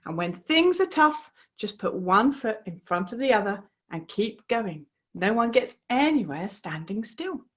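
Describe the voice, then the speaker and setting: A woman reading her children's storybook aloud in an expressive, encouraging way. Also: medium pitch, unhurried, few pauses. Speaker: author reading her own book.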